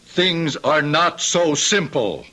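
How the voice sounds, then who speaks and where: A man's voice: on the high side, very animated, takes it slowly, sharp tone, full character voice, rough recording. An actor playing a wary, evasive small businessman, careful and hesitant, in a radio drama. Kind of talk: old-time radio drama acting.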